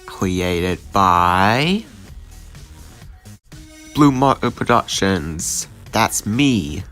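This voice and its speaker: Slow, low male announcer over music. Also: very animated, a bit bright. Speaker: show announcer. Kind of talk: scripted intro announcement.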